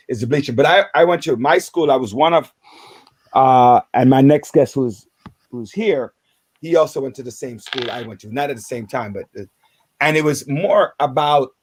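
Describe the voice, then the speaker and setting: A man in his fifties from Jamaica with a Jamaican accent, hosting a radio talk show in a halting way, with many restarts and filler words. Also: medium pitch, breathy edge. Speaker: radio host.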